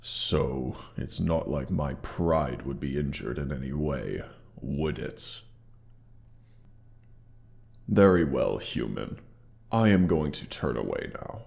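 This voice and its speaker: A man voicing a proud, mighty dragon with a gravelly, pompous, condescending delivery and an effect on the voice, in a scripted roleplay audio. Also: rumbling low, expressive, darkish tone, exaggerated voice. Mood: proud, scheming. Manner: pompous, condescending, deliberate theatrical phrasing. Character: proud, mighty male dragon, haughty yet grudgingly grateful. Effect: sound effects processing on the voice.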